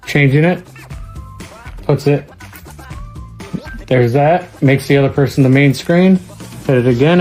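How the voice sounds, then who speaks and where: A woman's voice: rumbling low, warm tone. A woman giving a casual, plain-spoken product demonstration in an informal way. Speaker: product reviewer.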